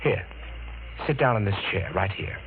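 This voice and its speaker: An actor playing a ruthless, scheming murderer in an old-time radio mystery, menacing and sardonic in manner. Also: male voice, on the low side, lively intonation, moderate pace, lightly acted. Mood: sly scheming. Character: ruthless, scheming, cold-blooded murderer cousin. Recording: tinny vintage radio, faint noise.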